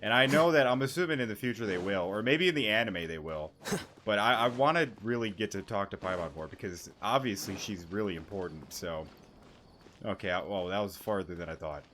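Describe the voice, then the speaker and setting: A man's voice: fairly low pitch, expressive, moderate pace, a bit bright, a little hiss or hum. A man giving casual let's-play commentary, blunt and sweary, with muttered asides. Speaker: gaming streamer reacting to a story quest. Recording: background game music.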